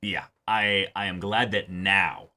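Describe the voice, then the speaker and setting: A man's voice: low-pitched, fairly even intonation, slow pace, pushed and bright. A man in a casual discussion as co-host of a reaction show, speaking emphatically and repeating phrases as he builds his point.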